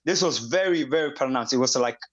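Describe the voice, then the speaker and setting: A man's voice: mid-range voice, fairly quick. A man from Somalia, with Somali as his first language, speaking as an interview guest in a hesitant way full of fillers and restarts. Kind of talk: conversational interview. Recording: dull lo-fi audio.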